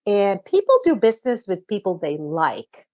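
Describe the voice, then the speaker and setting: A woman's voice: medium pitch, very animated, normal speed, a little mellow. A woman in her sixties hosting a podcast, answering questions in a thoughtful, earnest and encouraging way. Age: 60s. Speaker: podcast host.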